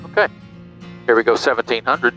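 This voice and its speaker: A man's voice: mid-range voice, fairly quick, sharp tone, lo-fi sound, soft background music. A middle-aged American man speaking casually as a pilot and vlogger into a headset mic in a small airplane cockpit. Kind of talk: casual cockpit vlog and radio calls. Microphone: aviation headset boom mic.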